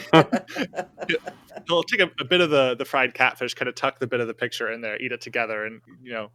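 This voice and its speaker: A man playing a tabletop game, casually improvising his character's story in a halting, think-aloud way. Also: medium pitch, bright, forceful tone.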